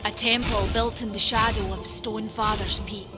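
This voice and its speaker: Actress as sturdy maker of the forge; mid-pitched, earnest, proud, old-world phrasing, over a loud game score. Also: female voice, steady tone, moderate pace, natural voice. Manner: frank, earnest, archaic turns of phrase. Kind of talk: dramatic fantasy game acting. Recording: loud music behind the voice.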